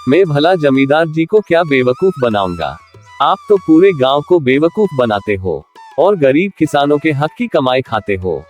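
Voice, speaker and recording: A clever, humble farmer. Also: male voice, fairly high pitch, moderate pace, faint music behind. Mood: confident. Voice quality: polite, calm, quietly confident. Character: clever humble poor farmer, adult man.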